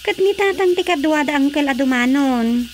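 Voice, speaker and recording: An actress playing an everyday wife at home in a radio drama, with an Ilocano accent. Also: female voice, high-pitched, animated, normal speed. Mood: conversational. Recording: faint cricket noise.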